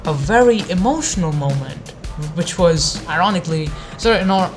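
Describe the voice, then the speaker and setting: Low-voiced woman in blunt, sarcastic commentary. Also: animated, moderate pace. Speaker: anime review video maker. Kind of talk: informal video rant commentary. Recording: music behind the voice. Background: background music.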